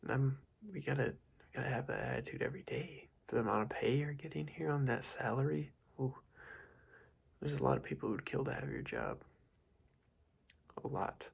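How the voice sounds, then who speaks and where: Young male ASMR whisperer, close-miked, husky and gravelly, as a rambling casual boss. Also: medium pitch, brisk, pauses often. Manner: casual, rambling scolding with many fillers. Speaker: ASMR creator playing a boss.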